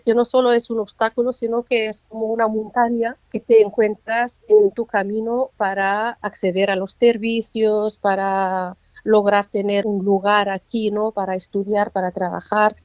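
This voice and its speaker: Woman author, slow thoughtful answers, dry slightly muffled sound. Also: medium pitch, darkish tone, no room sound. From North Africa. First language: Amazigh. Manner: measured, often tags "¿no?". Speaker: author, interview guest. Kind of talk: reflective interview answers.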